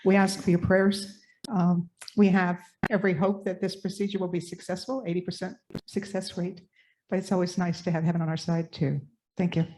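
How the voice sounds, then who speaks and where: An older woman in a church service, calmly asking the congregation for prayers. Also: fairly low pitch, brisk, low-quality recording. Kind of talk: sharing a prayer request. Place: church sanctuary.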